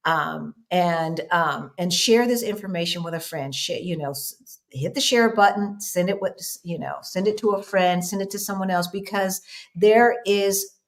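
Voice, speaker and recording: Woman, middle-aged, low voice, host, casual chat, fillers and restarts. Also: moderate pace. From United States. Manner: thoughtful, repeats herself, many fillers and restarts; addresses viewers directly.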